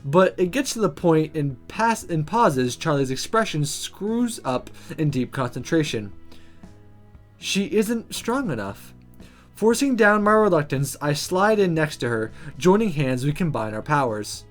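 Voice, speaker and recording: A let's play host narrates a visual novel aloud in an animated, mid-pitched voice. Game music plays clearly behind the reading. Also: measured pace.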